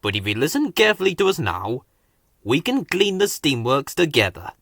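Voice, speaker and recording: A man's voice: mid-range voice, very animated, moderate pace, creaky, a bit bright, no echo, clean studio sound, heavily acted. A man voicing a kindly, patient foreman engine in a children's storybook. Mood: gentle, measured.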